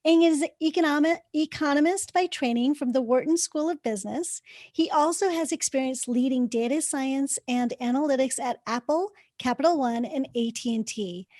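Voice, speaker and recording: A woman hosting a podcast, reading a guest introduction and chatting enthusiastically, quick to laugh. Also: sits high, a little mellow, no echo. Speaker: podcast host. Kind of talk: reading a guest bio, then casual interview chat.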